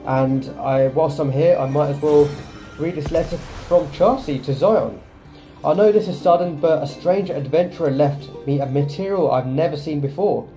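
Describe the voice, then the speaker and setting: Guy doing casual game commentary, really mellow dark voice, bit of music behind. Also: a little high, moderate pace. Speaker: gaming video host.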